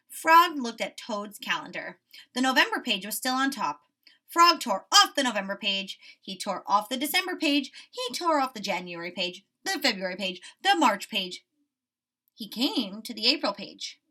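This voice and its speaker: A woman's voice: medium pitch, big pitch swings, normal speed, pushed and bright, recorded from a distance. A woman teaching young children through a read-aloud, explaining simply and expressively and checking in with questions.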